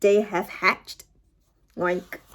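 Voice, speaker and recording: A woman voicing a calm, helpful little pig from a children's storybook, answering matter-of-factly in short lines. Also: medium pitch, drawn-out words, pitched below the performer's own voice. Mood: informative.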